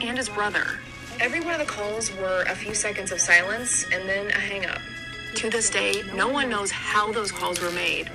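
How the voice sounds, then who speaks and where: A woman's voice: mid-range voice, low-quality recording. Female storyteller, mid-range voice, scripted eerie narration with prominent music underneath. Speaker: storytelling podcast host. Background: background music.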